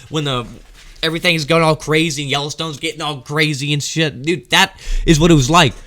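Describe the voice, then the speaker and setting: A man in casual comedic storytelling mode as a host, animated and slangy, swearing freely and acting out quotes and sound effects. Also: a little high.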